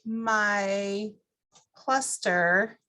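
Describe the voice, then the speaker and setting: A woman leads an informal walkthrough of a computer program. She speaks at an average pitch in a conversational, explanatory way. She takes her listeners through it step by step, as a class presenter does. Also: fairly even intonation, drawn-out words, sharp tone.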